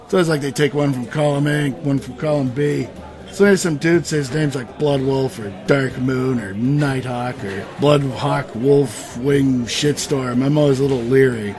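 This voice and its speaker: A man's voice: mid-range voice, normal speed, a crowd in the background, natural voice. A man acting a wisecracking, skeptical adventurer who leads his band in a comic fantasy audio drama, talking casually and sarcastically. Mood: joking. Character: wisecracking, skeptical, down-to-earth male adventurer leader. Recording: music under the voice.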